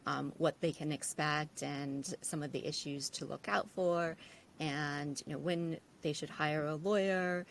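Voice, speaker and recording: Low, gravelly-voiced woman podcast host. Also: steady tone, measured pace, a little hiss or hum. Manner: hesitant, with frequent fillers. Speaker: estate planning attorney and podcast host. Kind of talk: podcast conversation.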